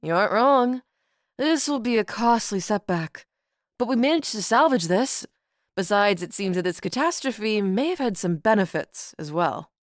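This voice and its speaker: A woman voicing a relaxed, always-smiling male crew leader in his early thirties, unfazed and friendly, in a storybook reading. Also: medium pitch, moderate pace, no echo, studio quality, close to an everyday voice. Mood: easygoing.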